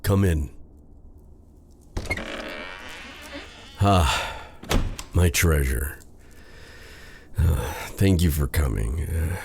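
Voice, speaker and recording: A man playing a brooding, remorseful dark sorcerer in an intimate fantasy ASMR roleplay, speaking formally and haltingly to the listener in a husky, breathy voice. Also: a bass voice, slight background noise, heavily acted. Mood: troubled, gentle. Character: brooding, remorseful dark sorcerer, bitter yet tender captor.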